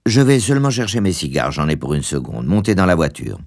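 A man voicing a stiff, controlling older husband in a storybook, with a formal, fussy, unhurried manner. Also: low voice, very animated, darkish tone, pitched below the performer's own voice. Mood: calm, evasive.

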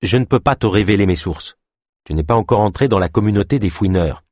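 A secretive male colleague in an audiobook, voiced by a man reading. He sounds matter-of-fact and evasive yet reassuring, in a very low, husky voice with a dry, close sound. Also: lively intonation, a little fast, darkish tone, dry recording. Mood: guarded, reassuring. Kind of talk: audiobook character acting.

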